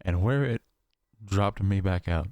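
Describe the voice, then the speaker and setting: A man playing a shaken, paranoid survivor recording a horror audio log, speaking haltingly with long pauses. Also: low-pitched, a little mellow, no room sound, dull audio. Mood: weary, reflective. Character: shaken, paranoid male survivor of a nightmare realm.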